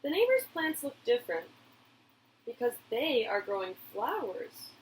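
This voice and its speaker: A woman's voice: a little high, very animated, unhurried, a little hiss or hum, rough recording. A woman voicing a curious young girl in a storybook, eager, questioning and pleading. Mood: puzzled, pleading.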